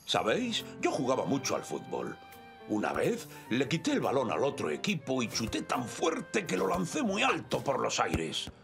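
An old Castilian Spanish grandfather from a children's cartoon. He is a jovial soccer coach who tells proud stories and cheers everyone on. His voice is creaky and swings widely in pitch, with music underneath. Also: huge pitch swings, moderate pace. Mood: proud storytelling. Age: older. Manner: encouraging, storytelling. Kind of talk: animated cartoon dub acting.

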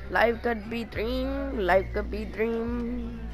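A young woman chatting casually and teasing a friend while playing a game, laughing often. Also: fairly high pitch. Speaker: gamer streaming with a friend. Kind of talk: casual gameplay chat.

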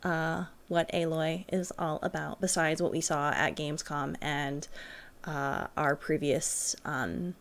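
A woman's voice: low voice, steady tone, takes it slowly. A young adult woman giving casual, rambling reaction commentary to her viewers as a streamer at home. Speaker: streamer, reaction video host. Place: small furnished room at home.

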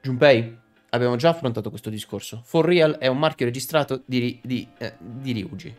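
A man's voice: mid-range voice, fairly quick. A man in his thirties narrating and commenting on a game playthrough in a theatrical, jokey manner. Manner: theatrical, jokey, slips into character voices. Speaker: streamer. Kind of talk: animated game playthrough, reading dialogue aloud. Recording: game music behind voice.

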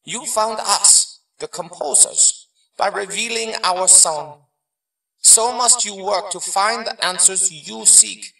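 An actor playing a wise old martial arts master spirit in an audio drama, speaking in a measured, formal, teaching manner. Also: male voice, high-pitched, pushed and bright, echoey room, recorded from a distance, heavily acted, rough recording. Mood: grave, caring.

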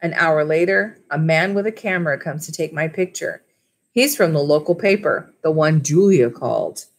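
A woman's voice: low voice, moderate pace. A woman in her thirties reading a children's book aloud as a school librarian, with casual asides to the listeners. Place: small wood-panelled room at home. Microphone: webcam or laptop at desk distance.

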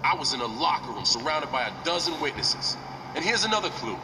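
A cocky slang-talking rapper wrestler. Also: male voice, a little high, sing-song, fairly quick. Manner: trash-talking street slang. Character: brash young male rapper wrestler, cocky. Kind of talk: video game cutscene dialogue. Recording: distant, roomy, noisy game audio.

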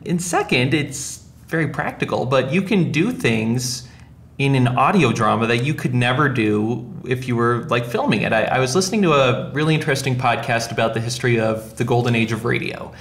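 A man hosts a casual podcast discussion in a mid-range voice. He thinks out loud, circling and rewording his points as he goes. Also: medium pitch, normal speed. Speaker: podcast host.

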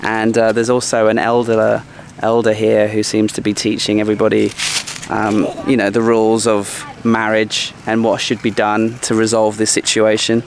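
A man's voice: fairly low pitch, monotone, moderate pace. Man vlogging casually outdoors, noisy background. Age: 30s. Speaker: travel vlogger. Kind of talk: casual vlog storytelling to camera. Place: outdoors by a seaside sculpture park. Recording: clear outdoor background noise.

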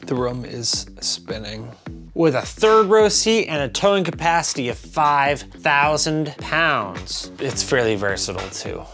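A man in his thirties hosting a casual car review to camera, with a loose, tipsy delivery full of stumbles and drawn-out words. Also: medium pitch, big pitch swings, a bit bright. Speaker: host of a car review show. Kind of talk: casual scripted-list review to camera with asides. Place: small home office room with computer monitors. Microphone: lavalier clipped to shirt. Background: music.

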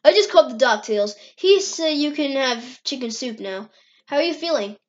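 A woman's voice: sits high, lively intonation, normal speed, highs cut off. A woman voicing a loyal, casual young male hedgehog hero who talks reassuringly in an amateur comic dub.

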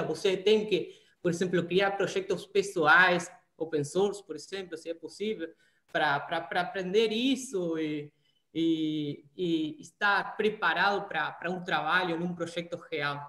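A man's voice: high voice, fairly bright tone. Young man with an Argentine Spanish accent. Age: young adult. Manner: hesitant, many restarts and repeated words. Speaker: data scientist guest. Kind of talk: video call interview. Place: small room at home, indoors. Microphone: laptop webcam on video call. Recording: echoey room.

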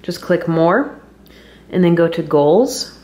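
A woman's voice: on the low side, animated, takes it slowly, warm tone. An American woman in her thirties chatting casually and explaining things as a fitness vlogger, recorded on camera at home. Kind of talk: casual vlog chat and explaining. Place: home kitchen, indoors. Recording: roomy kitchen with some background noise.

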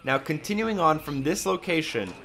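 A man's voice: a little high, animated, moderate pace, pushed and bright, slight background noise. A man giving a casual, step-by-step walkthrough commentary as a video game guide narrator. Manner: instructional, step-by-step. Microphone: voice-over on a microphone.

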